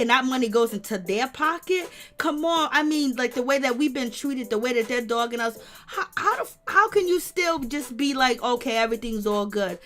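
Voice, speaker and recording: A hoarse woman hosting a livestream, speaking fervently and greeting viewers in the chat. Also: on the high side, fairly bright tone. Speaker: livestream host. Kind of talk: impassioned livestream commentary.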